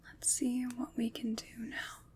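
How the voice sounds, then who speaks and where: A woman playing a gentle, protective witch in an ASMR roleplay, whispering in a soft, reassuring, measured way. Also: fairly high pitch, completely flat delivery, close to an everyday voice. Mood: soothing, protective. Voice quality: breathy, unvoiced whisper. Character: gentle, protective witch soothing away nightmares.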